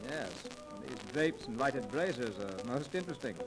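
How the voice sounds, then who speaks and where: An actor playing a learned, inquisitive professor, polite and formal, in a 1930s radio drama. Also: male voice, mid-range voice, expressive, moderate pace, lightly acted, poor audio. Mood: curious, learned. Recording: vintage radio recording with music.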